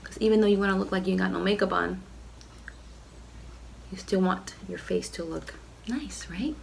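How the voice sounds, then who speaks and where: Nasal, rough-voiced woman with a cold. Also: medium pitch, fairly even intonation, moderate pace, a little hiss or hum. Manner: chatty, lots of "like" and "you know". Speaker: beauty vlogger. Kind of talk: casual makeup tutorial. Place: home.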